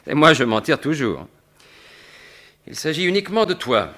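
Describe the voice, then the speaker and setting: An actor playing a suave, mocking gentleman thief in a 1950s-style French radio mystery, with a sardonic, assured, theatrical delivery. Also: a little high, wildly swooping pitch, moderate pace, full character voice. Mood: commanding, menacing.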